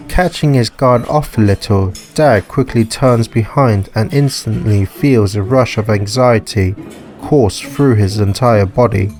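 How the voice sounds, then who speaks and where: A male narrator reads a scripted story. His delivery is slow, formal and measured, with faint plucked guitar music behind him. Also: mid-range voice, darkish tone. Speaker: narrator and author.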